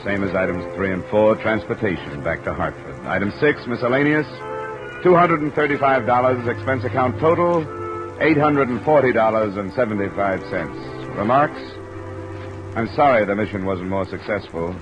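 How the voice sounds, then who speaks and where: An actor playing a steady, terse insurance investigator in a 1950s radio detective drama, clipped and matter-of-fact. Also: male voice, fairly low pitch, moderate pace, lo-fi sound, music in the background, natural voice. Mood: dry narration. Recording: old radio recording, rain overlaid.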